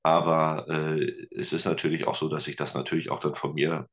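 Very deep-voiced man, relaxed chat. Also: normal speed. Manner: relaxed, rambling with many fillers. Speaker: podcast guest, teacher and musician. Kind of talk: casual interview chat.

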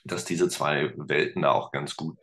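Very deep-voiced man, hesitant chat. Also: steady tone, measured pace, a bit bright. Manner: hesitant, many fillers and restarts, joking. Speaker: podcast guest, teacher and musician. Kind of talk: casual conversation. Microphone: remote video call.

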